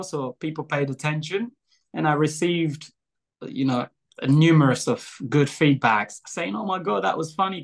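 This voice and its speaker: A man's voice: fairly high pitch, gravelly, a bit bright. A young man from Angola, whose first language is Portuguese, talking casually in an interview, rambling with lots of fillers and small laughs. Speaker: guest, show creator.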